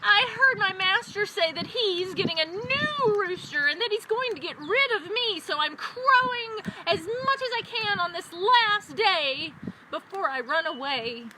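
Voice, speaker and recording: A woman voicing an excitable, anxious rooster in a children's story, shrill and squawking. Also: background noise. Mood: anxious, complaining. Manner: shrill, squawking, drawn-out crows.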